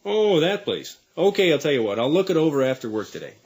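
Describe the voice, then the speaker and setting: A man's voice: fairly high pitch, very animated, fast talker, darkish tone, husky. A man playing an easygoing, wisecracking suburban husband and father in a naturalistic radio drama, with a casual, joking manner. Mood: conciliatory.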